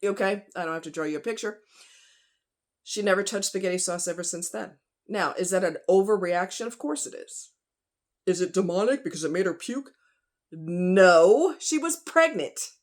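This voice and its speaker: Low-pitched woman chatting in a folksy way. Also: moderate pace. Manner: folksy, conversational, emphatic. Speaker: vlogger. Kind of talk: casual chat to viewers.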